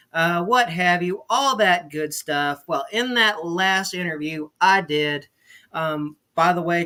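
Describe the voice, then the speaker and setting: A man's voice: on the high side, bright, forceful tone. A man hosting a casual online interview, upbeat and chatty with plenty of fillers. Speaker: interview host.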